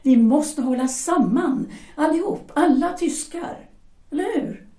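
A woman's voice: high voice, warm tone, distant mic. A woman playing a pragmatic young German mother who insistently coaxes her husband. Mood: persuasive. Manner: coaxing, insistent, brushes off doubts. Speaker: shipyard worker's wife. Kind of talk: amateur audio drama acting. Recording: echoey room, voice set back from mic.

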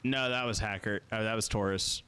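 Man in his thirties, deep clean voice, casual game streamer, close home mic. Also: steady tone, brisk, sharp tone, smooth. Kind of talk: casual co-op gameplay chat. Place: small home streaming room. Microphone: close microphone on boom arm, headset.

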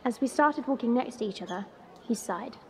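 A woman's voice: fairly high pitch, animated, fairly quick, a little mellow, a faint crowd, slight background noise, lightly acted. A woman playing a proud, sardonic young dragon woman who narrates an audio drama in a chatty, confiding way. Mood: dry narration. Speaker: narrator and lead character.

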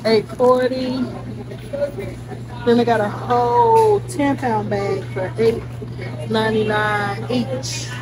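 A woman's voice: fairly high pitch, slow, pauses often. Chatty woman vlogging in a store. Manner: chatty, reads prices aloud, addresses viewers as y'all. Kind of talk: casual shopping vlog. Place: indoor meat store, supermarket aisles. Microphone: phone held by hand, selfie camera. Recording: phone audio with clear store noise.

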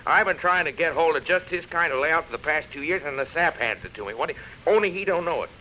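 A man's voice: fairly high pitch, animated, fast, sharp tone. An actor playing a brash, boastful, bullying businessman in 1930s radio drama, with a slangy, barking manner. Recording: dull, noisy old radio recording.

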